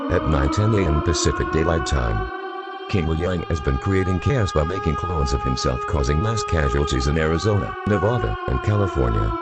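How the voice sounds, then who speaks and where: Male emergency alert announcer, extremely low register, swinging intonation, stilted synthetic read of formal scripted warnings.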